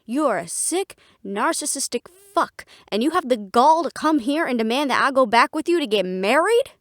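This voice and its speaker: A woman's voice: high-pitched, animated, normal speed, no room sound, clean studio sound. A woman playing a defiant, fiercely independent half-fae daughter, blunt and sarcastic, in a fantasy audio drama. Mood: outraged. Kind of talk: fantasy audio roleplay acting.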